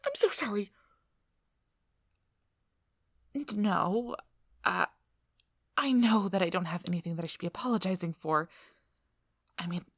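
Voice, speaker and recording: A woman playing a nervous, apologetic, injured young field researcher in an ASMR audio roleplay, breathy and hesitant, stammering as she goes. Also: medium pitch, dry recording, studio quality. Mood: pained, flustered.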